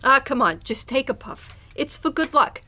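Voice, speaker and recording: A woman playing a breezy, persuasive studio staffer in a live old-time radio drama, brisk and chatty. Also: high-pitched, expressive, bright, forceful tone, exaggerated voice. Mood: coaxing.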